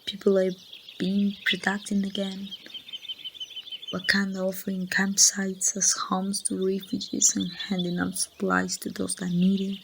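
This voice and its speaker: A grieving female survivor , acted by a woman with a Mexican accent, confides in someone she lost in a hushed ASMR roleplay. Her delivery is slow and flat, with gaps between phrases and a creaky edge. She is heard over faint noise and birdsong. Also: medium pitch. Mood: subdued, hopeful. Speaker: amateur voice actor.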